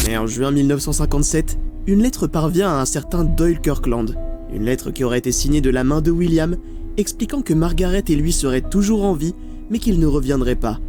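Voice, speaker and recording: A man's voice: on the high side, warm tone. A man narrating a scripted mystery documentary with dramatic, suspenseful phrasing. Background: background music.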